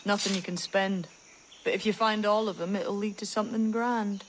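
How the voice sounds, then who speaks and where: A woman's voice: medium pitch, fairly even intonation, normal speed, a little hiss or hum, natural voice, poor audio. A woman playing a shrewd, guarded young pirate in disguise in a video game, plain-spoken and colloquial. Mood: earnest, coaxing. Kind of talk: dramatic video game acting.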